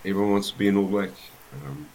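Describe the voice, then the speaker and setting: A man with a New Zealand accent, a rugby coach telling his life story as an interview guest, in an unhurried way with many pauses. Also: fairly low pitch, monotone, a little mellow, slight background noise, low-quality recording.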